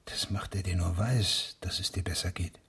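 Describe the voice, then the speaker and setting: An actor playing a grave, persistent investigating judge in a German radio play, questioning insistently in a husky, breathy voice. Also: male voice, sits low, fairly even intonation, moderate pace, a bit bright, in a whisper, exaggerated voice. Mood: quietly insistent.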